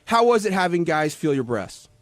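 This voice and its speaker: A man's voice: a little high, very animated, moderate pace, no echo. A man in casual studio banter, talking in a defensive, argumentative and sarcastic way.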